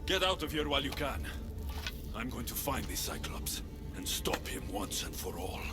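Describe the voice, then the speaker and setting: A determined warrior of ancient Greece, played by a male game actor. His delivery is commanding and intense, swinging widely in pitch. Dramatic music plays clearly behind him. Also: big pitch swings, normal speed, natural voice. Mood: urgent, determined. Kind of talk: dramatic video game acting.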